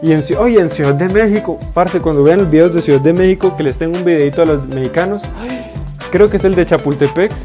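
A young man, chatting excitedly in slang as he reacts to a video in a small room, speaking into earphones. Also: on the high side, fast talker, over music. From Colombia. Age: 20s. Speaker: YouTube reactor. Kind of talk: casual reaction commentary. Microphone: wired earphone mic.

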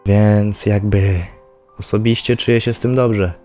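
A man's voice: fairly low pitch, fairly even intonation, unhurried, close to an everyday voice. A man voicing a creepy, cheerful male cursed spirit villain in a comedy fan dub, with a smug, lazy drawl. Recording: soft music underneath.

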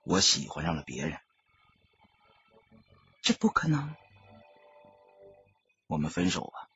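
A man's voice: sits low, sing-song, takes it slowly, bright, forceful tone, slightly rough, highs cut off, voice pushed down, exaggerated voice. A man playing a stoic young gangster, curt and subdued, holding back heartbreak in an audiobook. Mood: cold, pained.

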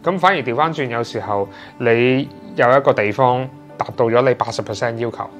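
A Hong Kong Cantonese man in his thirties, interviewed in his flat by the sea. His voice sits in a middle pitch, and he hedges and restarts as he thinks aloud. Light music plays underneath. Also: fairly quick. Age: 30s. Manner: hesitant, many fillers and restarts. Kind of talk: casual interview chat. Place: indoors in a sea-view flat.

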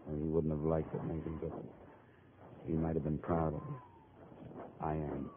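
A man's voice: extremely low pitch, completely flat delivery, normal speed, a little hiss or hum. An American man acting a tough, world-weary investigator who narrates his case tersely in a 1950s radio drama. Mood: gentle, somber. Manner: terse, hard-boiled. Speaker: lead actor and narrator. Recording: muffled old radio recording, music behind.

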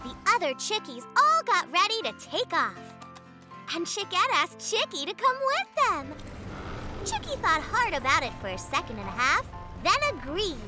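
A performer voicing an excitable, childlike young cartoon animal narrator, chatty and playful with theatrical, slangy asides. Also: very high voice, moderate pace, exaggerated voice. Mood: dramatic, playful. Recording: music behind the voice.

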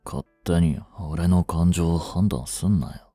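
An actor playing a proud, aloof teenage boyfriend in a romance voice drama, curt and dismissive, later feverish and weak. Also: male voice, deep voice, slow pace, mellow, dry recording, studio quality, faint music behind.

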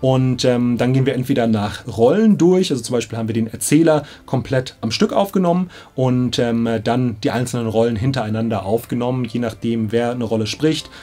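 A man's voice: mid-range voice, brisk, hardly any pauses, dark tone. A man in his thirties explaining casually to camera as an audiobook director, with an enthusiastic, slangy manner. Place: small indoor studio control room. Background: background music.

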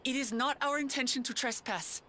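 A woman's voice: high-pitched, a little fast, sharp tone, slight background noise. An actress playing an earnest, idealistic young lion-woman warrior in a video game, speaking with impassioned conviction. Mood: pleading. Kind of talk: video game cutscene acting. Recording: music behind the voice.

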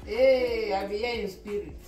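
A woman's voice: mid-range voice, a little slow, darkish tone, faint music behind, poor audio. Older Cameroonian woman, playful. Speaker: vlogger's mother. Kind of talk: casual chat. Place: home indoors.

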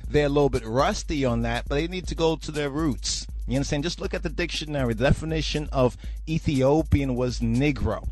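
A man's voice: a little high, brisk, hardly any pauses, darkish tone, soft background music. A man hosting a religious talk podcast, rambling and preachy, often checking "you understand?". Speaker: podcast host.

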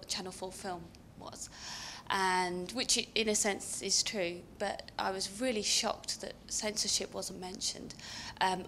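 A woman in the audience making a comment at a panel discussion, in an even tone. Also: mid-range voice, slight background noise. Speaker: audience member. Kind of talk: comment from the floor.

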